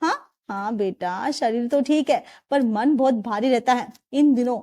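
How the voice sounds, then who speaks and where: A woman voicing a stern older mother-in-law who speaks in a heavy, sighing, low tone. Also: measured pace, dark tone, close to an everyday voice. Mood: weary.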